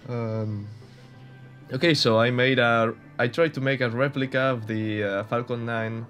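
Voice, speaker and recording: A man's voice: mid-range voice, steady tone, slow pace, long pauses, a bit bright, soft background music. A young man from Spain with a Spanish accent, giving casual, hesitant commentary over gameplay with many fillers and restarts. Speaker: gaming YouTuber.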